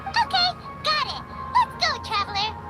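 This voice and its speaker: A woman voicing a small, excitable fairy companion with a squeaky childlike voice, in an anime game. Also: measured pace, heavily acted. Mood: eager, chirpy. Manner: refers to self in third person. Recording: loud music under the voice.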